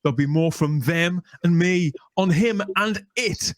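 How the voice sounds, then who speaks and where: A man's voice: high voice, a little slow, dry recording, studio quality. A man hosting a podcast, interviewing and presenting in a conversational way. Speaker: podcast host.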